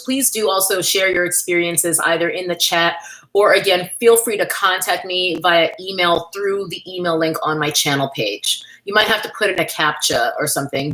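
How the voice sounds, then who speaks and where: A woman's voice: fairly low pitch, a bit bright, rough recording. A woman from New York, hosting a casual livestream, chatting candidly and thanking viewers by name as she reads their comments. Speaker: livestream host.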